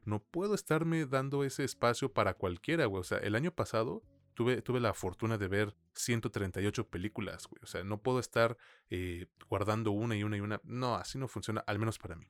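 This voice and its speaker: A Mexican-accented man with a fairly low voice, recorded dry and close, shares a film review as a podcast co-host. He speaks conversationally, in loose slang with the odd swear word. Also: a little fast, no echo. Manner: informal, slangy, peppered with swearing.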